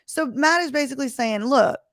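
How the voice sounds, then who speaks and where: A woman lawyer with a hoarse, weakened voice, reading court papers aloud and explaining them emphatically in plain terms. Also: sits high, moderate pace, fairly bright tone, no room sound, studio quality. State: recovering from lost voice. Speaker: lawyer commentator. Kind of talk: reading and commenting on court documents.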